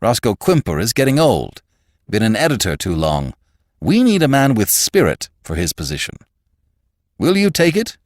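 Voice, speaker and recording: A hearty older magazine boss from a storybook, acted out by a man, pitched well up and swooping widely. He is genial and fussing, leaning on his words as he cajoles and persuades. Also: wildly swooping pitch, moderate pace, no echo, clean studio sound. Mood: persuasive.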